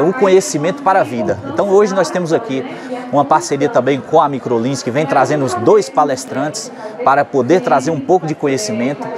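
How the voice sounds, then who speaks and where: A young man from Brazil speaks loud and steady in a slightly raised voice. He talks into a lapel mic in an open schoolyard. A crowd chatters clearly behind him. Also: on the high side. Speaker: municipal department official. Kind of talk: on-camera statement. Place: outdoor schoolyard beside a large crowd.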